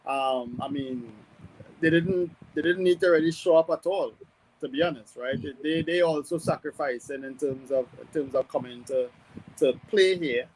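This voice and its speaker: A man giving conversational sports analysis as a guest, hesitant and measured as he thinks aloud. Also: a little high, a little mellow, a little hiss or hum, low-quality recording. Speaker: football pundit guest.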